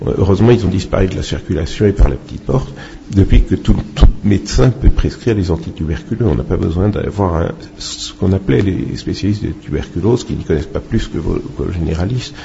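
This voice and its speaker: A man speaking off the cuff in a halting, opinionated way as a doctor answering questions at a public talk. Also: low-pitched, animated, gravelly, mellow. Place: public meeting with microphone. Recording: muffled, noisy public-event recording.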